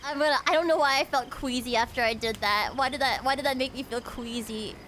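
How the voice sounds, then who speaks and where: A woman's voice: sits high, a little fast, fairly bright tone, slight background noise. A woman from the United States chatting casually on a livestream, reacting aloud in a dramatic, chatty way. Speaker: streamer.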